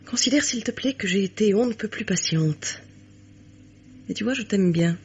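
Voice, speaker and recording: An actress playing a cool, self-possessed mistress in a French radio mystery, patronizing and insinuating. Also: female voice, mid-range voice, lively intonation, moderate pace, close to an everyday voice. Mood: condescending. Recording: dull, noisy vintage radio recording.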